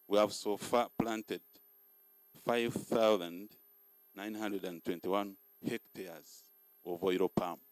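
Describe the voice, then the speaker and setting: A middle-aged man speaking as field operations manager at a ceremony, reading out figures steadily and deliberately. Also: low voice, dry recording, poor audio. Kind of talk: ceremony speech.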